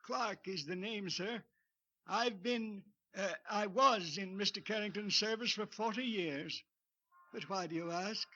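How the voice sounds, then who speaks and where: An older actor playing a loyal, deferential old butler who speaks formally in a 1940s radio drama. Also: male voice, high-pitched, measured pace, pushed and bright, highs cut off, exaggerated voice. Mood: polite. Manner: polite, formal, says sir often.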